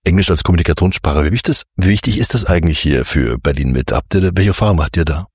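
A man's voice: low-pitched, lively intonation, fast, husky, no room sound, studio quality. A man working as a radio host, narrating and interviewing for a series.

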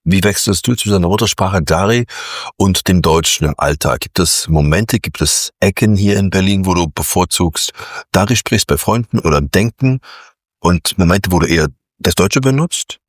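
A man hosting a radio interview, asking calm, reflective questions. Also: low voice, normal speed, no echo, clean studio sound. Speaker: radio host.

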